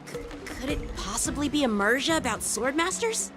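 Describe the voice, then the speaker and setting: A woman voicing a polite, gently teasing young sword teacher in an anime-style game, with music behind. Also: sits high, lively intonation, moderate pace. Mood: curious. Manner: polite, reasoned, lightly amused.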